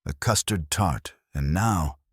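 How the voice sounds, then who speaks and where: A man voicing an anxious, determined woman who owns a bakery, halting and earnest in a detective story. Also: sits low, slightly rough, no echo, clean studio sound, heavily acted. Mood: worried, grave.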